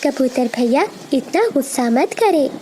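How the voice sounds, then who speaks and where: Woman voicing a high, polite cartoon sparrow. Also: expressive, measured pace, mellow, slight background noise. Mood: calming. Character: kind, polite young female sparrow.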